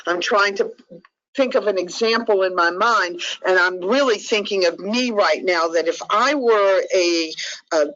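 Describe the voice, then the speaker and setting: A woman's voice: on the low side, fairly bright tone. A woman whose first language is Portuguese, giving an earnest, conversational training talk to advocates and often checking in with her listeners. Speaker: trainer for sexual assault advocates. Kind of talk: training lecture. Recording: dull lo-fi recording.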